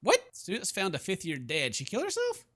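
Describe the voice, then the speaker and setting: A man's voice: high voice, wildly swooping pitch, brisk, noisy background. A man hosting a gaming video, reading story text aloud and commenting in a jokey, theatrical way. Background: game music.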